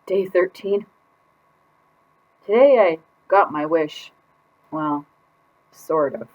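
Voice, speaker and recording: A woman's voice: lowish voice, lively intonation, takes it slowly, darkish tone. A woman reading a children's picture book aloud as a put-upon goldfish keeping a diary, with exaggerated, exasperated emphasis. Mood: relieved. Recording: dull lo-fi sound.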